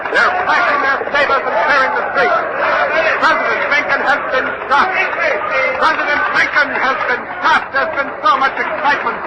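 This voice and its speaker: A roaring crowd and heavy noise surround an excited male street reporter. His very high, loud voice delivers a dramatic, urgent live report in old radio style. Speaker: field reporter.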